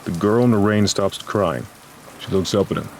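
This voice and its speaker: A man's voice: on the low side, normal speed, a little mellow, slight background noise. A man reading scripted noir horror narration as a grave, dramatic storyteller. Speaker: narrator and voice actor. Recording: music and rain behind voice.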